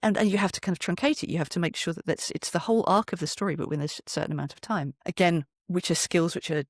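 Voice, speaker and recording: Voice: mid-range voice, fast talker, dry recording, studio quality. A podcast guest chatting in an interview, explaining things from experience with frequent asides and self-interruptions. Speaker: podcast guest, storyteller and voice performer.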